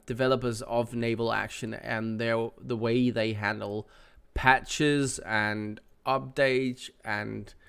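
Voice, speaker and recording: A man's voice: mid-range voice, gravelly, clean tone. A man hosting his gaming channel, sounding nasal from a cold, giving a casual, rambling update with many fillers.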